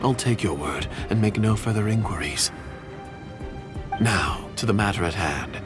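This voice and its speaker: An actor voicing a suspicious, calculating covert agent in a video game, speaking formally with guarded disdain. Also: male voice, lowish voice, expressive, measured pace. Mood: businesslike. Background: background music.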